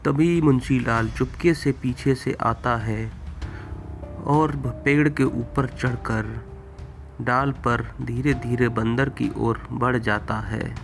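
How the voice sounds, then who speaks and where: A man's voice: medium pitch, a bit bright. A man narrating a scripted cartoon story as the storyteller.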